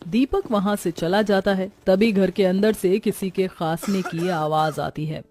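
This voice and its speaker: A woman's voice: medium pitch, fairly even intonation, measured pace, dark tone. A woman reading scripted narration for a moral story, as its storyteller.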